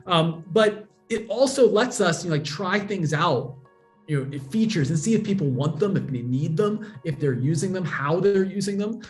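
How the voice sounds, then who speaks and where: A man's voice: high-pitched, animated, moderate pace, soft background music. A man, a guest on a remote interview, patiently explaining technology with everyday examples. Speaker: guest, blockchain educator. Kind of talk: casual explanatory interview talk.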